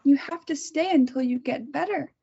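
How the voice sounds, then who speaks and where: A woman reading the part of a creepy self-styled doctor mother who sounds sweet and calm while menacing. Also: sits high, normal speed, vocal fry. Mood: sweetly menacing. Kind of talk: amateur table read. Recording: muffled lo-fi recording.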